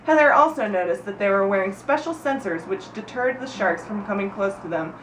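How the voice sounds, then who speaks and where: A woman's voice: medium pitch, animated, fairly quick, a bit bright. A woman reading a humorous story aloud to friends in a giggly, informal way, stumbling and breaking off to comment. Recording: echoey room, distant mic, background noise.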